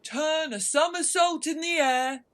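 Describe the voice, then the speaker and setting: A woman acts out a sorrowful male turtle creature from a storybook, pitched high. He is solemn and wistful, yet readily swells into excitable exclamation. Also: measured pace. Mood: excited.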